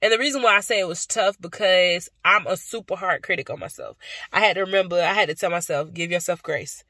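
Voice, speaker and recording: A woman's voice: lowish voice, fairly quick, sharp tone, dry recording, clean studio sound. Young female vlogger, tired and stuffed up with a cold, talking casually into a phone inside a car. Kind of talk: casual vlog chat to camera. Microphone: phone at arm's length.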